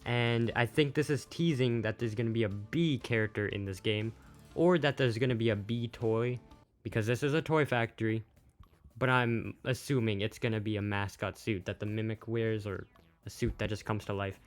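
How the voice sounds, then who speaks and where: A man's voice: lowish voice, steady tone, moderate pace. A man giving casual, off-the-cuff video commentary as a host, plain-spoken and a little unsure. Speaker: YouTube host, game news commentator. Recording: music playing behind the voice.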